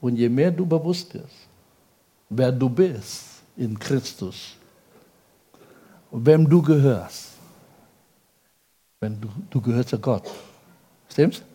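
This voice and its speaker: A male pastor preaching in a language that is not his first, peppering his sermon with rhetorical questions and quick checks to the congregation. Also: medium pitch, lively intonation, mellow, slightly rough. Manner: speaks German as a second language.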